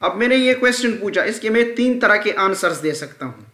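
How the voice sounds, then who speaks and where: High, bright-voiced male teacher in echoey room. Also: lively intonation, fairly quick. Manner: repeats key terms, checks in often. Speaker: English grammar teacher. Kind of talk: explanatory screen-recorded lesson.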